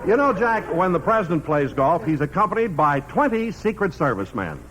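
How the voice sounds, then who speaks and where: A male announcer with a booming, formal delivery, trading banter on a 1950s radio comedy show. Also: very animated, a little fast. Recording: noisy old radio broadcast.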